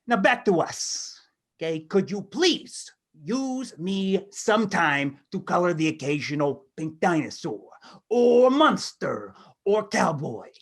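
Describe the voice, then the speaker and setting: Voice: mid-range voice, very animated, takes it slowly, exaggerated voice. A performer voicing a sassy pink crayon with a grievance in a comic storybook reading, confrontational, sarcastic and emphatic. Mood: sassy, aggrieved.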